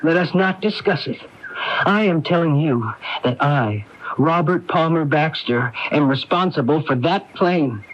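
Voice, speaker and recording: A man's voice: a little high, lively intonation, a little slow, a little hiss or hum. A man playing an evasive, guilt-ridden husband, sardonic and testy, in a radio drama. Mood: agitated, defensive. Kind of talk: 1980s radio drama acting. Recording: dull AM radio broadcast recording.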